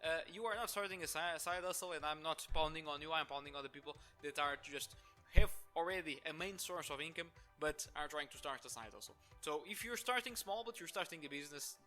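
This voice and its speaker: Young Portuguese-accented business owner, bright higher voice, opinionated monologue with music underneath. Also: male voice, a little fast. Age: 20s. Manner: opinionated, many restarts and filler. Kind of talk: talking-head monologue to camera.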